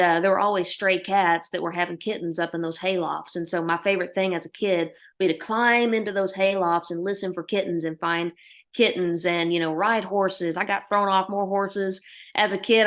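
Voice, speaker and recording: A woman in her thirties from Oklahoma with an Oklahoma accent, a country musician answering interview questions in a lively, folksy storytelling way. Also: medium pitch, moderate pace.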